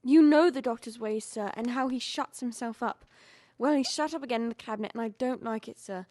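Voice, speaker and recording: A woman's voice: fairly high pitch, fairly quick, a little mellow, no echo, clean studio sound, lightly acted. A woman playing a loyal, frightened servant in a radio drama, deferential but urgent and jittery. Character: frightened, loyal female servant, fierce when pressed.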